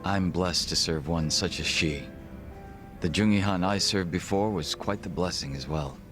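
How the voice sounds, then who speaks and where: An actor playing a composed, formal gangster second-in-command in a video game cutscene, measured and reserved. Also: male voice, deep voice, over music, natural voice. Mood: calm, formal.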